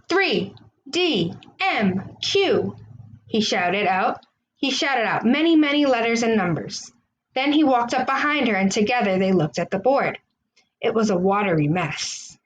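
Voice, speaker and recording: A woman reading a children's storybook aloud, with expressive, deliberate phrasing. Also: mid-range voice, highs cut off, slight background noise. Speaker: storybook reader.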